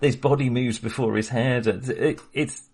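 A man's voice: medium pitch, steady tone, moderate pace, darkish tone, breathy edge. A man co-hosting a casual film chat, talking hesitantly with many restarts. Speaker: podcast co-host.

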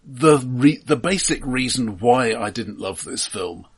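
A middle-aged man co-hosting a film podcast, giving measured opinions in casual talk and often repeating words as he starts. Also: mid-range voice, animated, slightly rough.

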